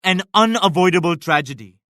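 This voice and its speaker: Proud pious emperor, video game character, slightly high male pitch, grave measured declamation, dry close sound. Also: lively intonation, pushed and bright, no room sound. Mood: grave reproach. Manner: grave, measured, lofty pronouncements. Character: proud, pious Mughal emperor, solemn and righteous.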